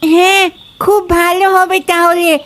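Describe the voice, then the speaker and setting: A woman's voice: very high-pitched, little pitch movement, a little slow, background noise. A woman voicing a chatty, boastful young schoolboy in a cartoon. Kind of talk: cartoon voice acting.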